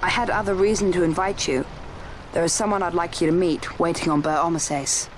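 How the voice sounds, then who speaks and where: A man playing a polite, diplomatic young imperial prince in a video game cutscene, speaking formally and in measured phrases over music. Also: on the high side, fairly even intonation, a little hiss or hum, natural voice. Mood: pleased, secretive. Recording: orchestral music behind the voice.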